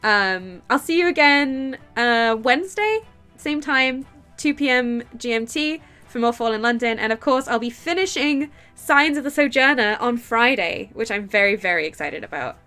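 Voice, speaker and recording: A woman streaming a game, chatting casually and reading its text aloud, excitable and quick to laugh, with a stuffy nose and sore throat from a cold. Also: clean tone, soft background music. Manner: excitable, laughs easily, bursts into high delight.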